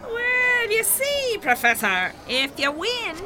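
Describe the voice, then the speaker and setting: A woman's voice: very high-pitched, very animated, takes it slowly, exaggerated voice. A woman playing a brassy, flirty, teasing bingo regular with a Dublin accent in an audio drama. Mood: flirty, cheeky. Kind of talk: radio drama acting. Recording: busy crowd behind.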